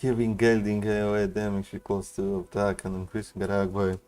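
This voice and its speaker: A man's voice: on the low side, monotone, a little fast, darkish tone. A man doing casual gaming commentary, slangy and musing aloud. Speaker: gaming streamer. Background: game music.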